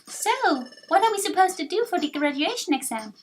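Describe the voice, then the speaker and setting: A woman's voice: extremely high pitch, very animated, brisk, pitched above the performer's own voice. A woman voicing a timid, eager young creature in a video game, with a hesitant, stammering manner. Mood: anxious, eager.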